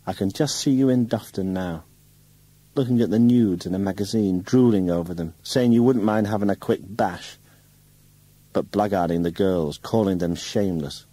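A man reading a novel aloud, putting on the voice of a young woman who speaks with low, controlled contempt and turns to sharp accusation. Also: moderate pace, slightly rough, no room sound, rough recording. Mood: cold. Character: bitter scornful young woman lashing out.

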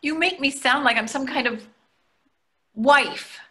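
A woman's voice: high voice, a little slow, sharp tone. An older woman playing an anxious, needling therapist and wife, hesitant and defensive, in a play read over a video call.

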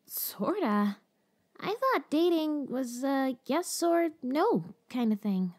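A woman's voice: high voice, sing-song, slow, a little mellow, exaggerated voice. A woman playing a curious, earnest teenage little sister with a tentative, girlish way of asking, in an audio fan drama. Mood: curious, puzzled.

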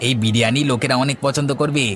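A man's voice: medium pitch, fairly even intonation, very fast, fairly bright tone, a little hiss or hum, natural voice. A man playing an eager, outspoken young eatery worker in a cartoon. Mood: eager, flattering. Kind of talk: Bengali cartoon dialogue.

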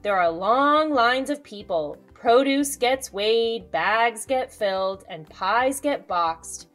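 A woman in her thirties, a children's host reading a picture book aloud with expressive storytelling delivery. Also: on the high side, slow, faint music behind. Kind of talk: storybook read-aloud with asides to young viewers.

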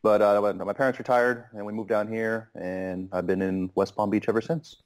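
A relaxed man in his thirties from Pittsburgh, with a lowish voice. He chats casually as a podcast guest and wanders through his thoughts with plenty of ums. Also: normal speed. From Pittsburgh, USA. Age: 30s. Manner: relaxed, rambling with many ums.

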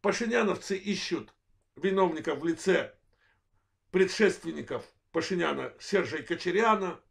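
A man in his forties from Azerbaijan with a worn voice, delivering a political analysis monologue in measured, halting short phrases as host of his own channel. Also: high voice, animated, creaky, a bit bright. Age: 40s. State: voice worn, apologizes for it.

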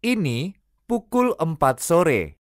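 Slow, careful woman reading phrases. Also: low voice, very animated, dry recording, studio quality. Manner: careful, clearly enunciated. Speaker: native Indonesian speaker reading phrases.

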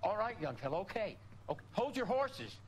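A man's voice: sits high, sing-song, a little fast, noisy background. A man playing a nervy, folksy old gas station attendant in a video game, trying to placate. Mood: placating. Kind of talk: video game drama dialogue.

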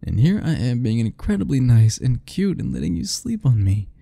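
A man's voice: mid-range voice, very animated, normal speed, creaky, warm tone. A young man speaking a playful, teasing ASMR roleplay straight to the listener, laughing often between lines.

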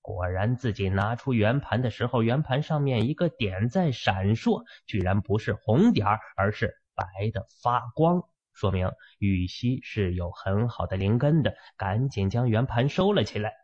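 A man's voice: lowish voice, animated, normal speed, few pauses, darkish tone, husky, lo-fi sound. A man narrating fiction in a dramatic, scripted audiobook style.

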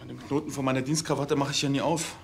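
A man plays an awkward, bashful policeman in a TV comedy. He speaks hesitantly at a middling pitch, stammering, with faint location ambience. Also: flat delivery, fast talker, creaky, slight background noise, natural voice. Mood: flustered. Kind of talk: TV comedy acting.

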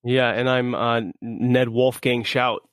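A man's voice: medium pitch, little pitch movement, slow pace, a bit bright, smooth, no echo, clean studio sound. A man in his forties hosting a podcast, friendly and upbeat, in easy casual chat. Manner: upbeat, friendly, laid-back. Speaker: podcast host.

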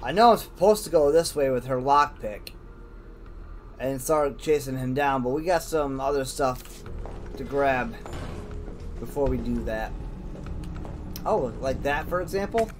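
A man's voice: medium pitch, measured pace, long pauses, a little hiss or hum. A man giving casual let's play commentary, talking to himself in folksy slang with some mild swearing. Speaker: gamer, video host. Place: indoors, over game audio.

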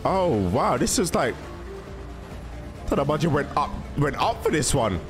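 A man's voice: fairly high pitch, very animated, measured pace. A young man giving casual, slangy reaction commentary, musing aloud into a close microphone. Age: young adult. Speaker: reaction video host. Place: small indoor room at a desk. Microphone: close microphone on arm, headphones on. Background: music from the cartoon intros being watched.

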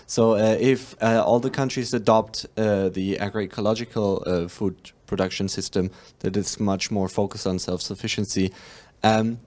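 A man's voice: on the low side, steady tone, normal speed, a little mellow. Hesitant German man asking a question. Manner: hesitant, self-aware questioning. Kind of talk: audience question.

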